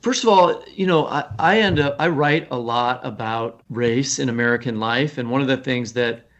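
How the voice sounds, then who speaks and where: A man's voice: mid-range voice, moderate pace, fairly bright tone, muffled recording. A man guesting as a writer on a literary podcast, talking earnestly and thinking aloud as he explains. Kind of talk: conversational literary podcast discussion.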